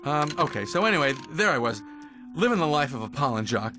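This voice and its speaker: A man voicing an eager, wisecracking, slightly nervous young bee in a cartoon game, animated and self-deprecating, with music behind him. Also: on the high side, fairly quick. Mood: awkward.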